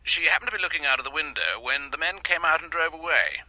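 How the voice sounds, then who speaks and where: An actor playing an earnest police detective reporting urgently and precisely in a radio drama. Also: male voice, fairly high pitch, very animated, quick pace, bright, forceful tone, slight background noise, heavily acted, rough recording. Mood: earnest, puzzled.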